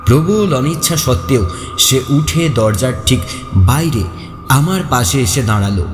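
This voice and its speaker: A man narrating a first-person horror story in an expressive, suspenseful, dramatic way. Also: mid-range voice, a little slow. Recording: echoey long reverb, faint music behind. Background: faint music.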